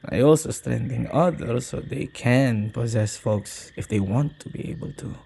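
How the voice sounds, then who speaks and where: A man playing an earnest, protective male witch in an ASMR roleplay, talking in an emotive, halting way. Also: mid-range voice, vocal fry, warm tone, husky. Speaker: audio roleplay voice actor.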